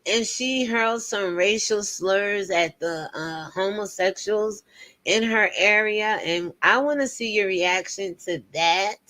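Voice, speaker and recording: A woman's voice: medium pitch, fairly bright tone. A woman in her fifties hosting a casual commentary show, chatting to viewers with preachy asides. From United States. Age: 50s.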